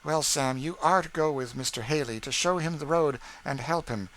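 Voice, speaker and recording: A man's voice: on the high side, normal speed, a bit bright, pitched above the performer's own voice, natural voice, poor audio. A man voicing a stern, proper lady of the house in an audiobook, firm and admonishing.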